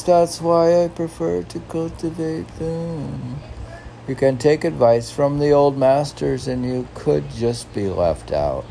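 Male poet, unhurried and creaky, halting and reflective between read verses and offhand comments. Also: mid-range voice, mellow, a little hiss or hum, rough recording. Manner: musing, halting, chuckling at his own remarks. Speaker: poet reading his own work. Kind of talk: poetry reading with rambling casual asides.

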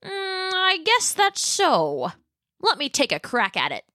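A woman voicing a curious, easygoing young male dinosaur in a low, slow, drawling voice. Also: huge pitch swings, sharp tone, no echo, clean studio sound, full character voice.

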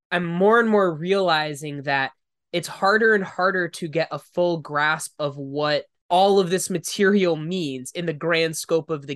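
A man's voice: a little high, a bit bright, no room sound, clean studio sound. A man co-hosting a tabletop gaming podcast, congested and a little nasal, explaining his views in a thoughtful, casual way. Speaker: podcast co-host. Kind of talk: casual chat.

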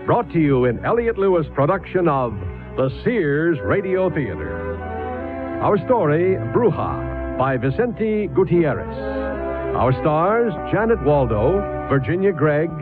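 A male radio announcer with a formal, polished delivery and animated intonation. He comes through a narrow radio band with music clearly behind him. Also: fairly high pitch. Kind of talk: scripted programme announcement.

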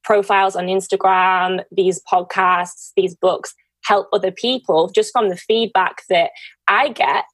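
A woman's voice: medium pitch, steady tone, measured pace, dry recording. A woman hosting a podcast in a casual, conversational way, effusive and encouraging. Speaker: podcast host.

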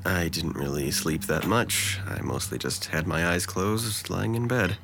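Gravelly, low, gloomy young man. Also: a little slow, slight background noise, heavily acted. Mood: tired, low. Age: young adult. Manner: flat, dry, sardonic. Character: depressed, sardonic 21-year-old man, weary and blunt. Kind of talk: audio drama acting.

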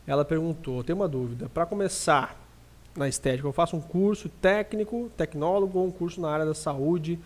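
Podcast host, male, Brazilian accent, somewhat high register; informal, audience-facing live chat delivery. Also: a little fast. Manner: informal, addresses listeners directly.

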